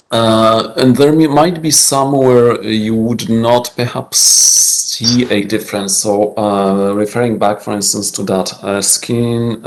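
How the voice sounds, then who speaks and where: A man answering audience questions at an academic conference, hesitant and measured, with many fillers. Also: fairly low pitch.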